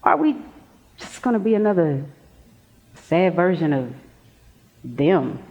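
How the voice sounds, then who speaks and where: A woman's voice: lowish voice, sing-song, slow pace, a little mellow, heavily acted. A woman playing a possessive, street-talking teenage best friend in a stage play. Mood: downcast, searching. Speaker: stage actor. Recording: dull lo-fi stage recording.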